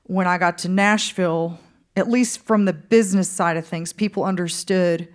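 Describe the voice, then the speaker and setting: A woman singer-songwriter from the Upper Midwest, answering interview questions on stage in a relaxed, hesitant way. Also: fairly low pitch, normal speed. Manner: relaxed, hesitant, laughs easily. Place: stage in a concert hall.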